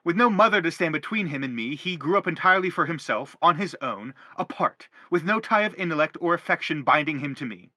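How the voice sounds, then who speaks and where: A man's voice: on the high side, animated, brisk, hardly any pauses, bright, forceful tone, dry recording. An actor playing an anguished middle-aged father in a stage drama, pompous, wordy and insistent as he justifies himself. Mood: pleading, self-justifying. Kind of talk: theatrical stage drama acting.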